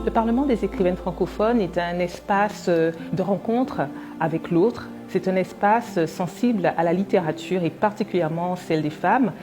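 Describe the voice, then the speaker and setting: A woman's voice: lowish voice, moderate pace, music in the background. A woman writer speaking in a composed, earnest way in an interview. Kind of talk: reflective interview testimony.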